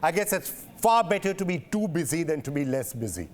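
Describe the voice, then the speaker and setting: A male interviewer frames probing questions, circling back on his words as he works his way to the point. His voice sits a little above the usual pitch for a man. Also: very animated, a little fast. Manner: probing, repeats phrases while framing questions. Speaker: interviewer, news show host.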